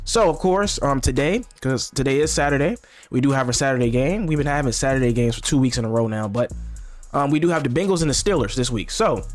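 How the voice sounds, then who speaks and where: A young man under the weather, chatting casually and slangily about football picks, rambling and repeating himself. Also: medium pitch. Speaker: sports picks vlogger. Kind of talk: casual sports predictions chat.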